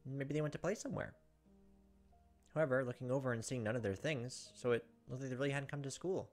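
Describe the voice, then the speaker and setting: Quick-reading man over music. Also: medium pitch. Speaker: let's play host. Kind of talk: reading visual novel narration aloud with asides. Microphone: close desk microphone. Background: game music.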